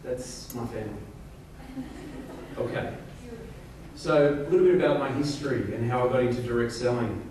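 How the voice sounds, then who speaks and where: A man's voice: mid-range voice, normal speed, long pauses, darkish tone. An Australian man in his forties giving a joking, self-deprecating rally speech with many fillers. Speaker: company executive giving a speech. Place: large event hall on stage. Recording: echoey hall, from far off, crowd noise.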